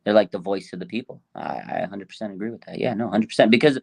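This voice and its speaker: Gravelly, casual man co-hosting sports talk. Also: lowish voice, very fast. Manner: agreeable, informal, repeats himself for emphasis. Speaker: co-host of a sports fan show. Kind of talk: casual sports fan banter.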